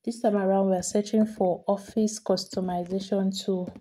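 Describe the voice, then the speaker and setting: A woman talking through a screen-recorded software tutorial, step by step, repeating each action as she does it. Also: mid-range voice, level tone, moderate pace. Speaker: tutorial host.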